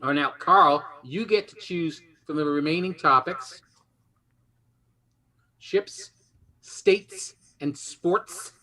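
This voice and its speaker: Bright, high-voiced man hosting a game show. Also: takes it slowly, long pauses, poor audio.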